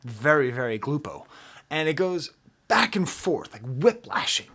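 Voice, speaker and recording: An American man hosting a casual album review, chatty and often laughing, swinging from low murmurs to excited bursts. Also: measured pace. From United States.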